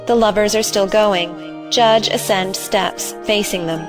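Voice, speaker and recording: A woman's voice: mid-range voice, steady tone, measured pace, over music. A woman giving scripted narration, reading out the stage directions of a play.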